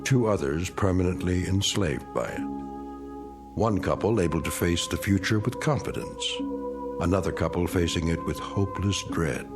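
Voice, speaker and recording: Deep, portentous male narrator over music. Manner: portentous, measured. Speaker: narrator of an anthology radio drama. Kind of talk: scripted dramatic narration. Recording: music behind the voice.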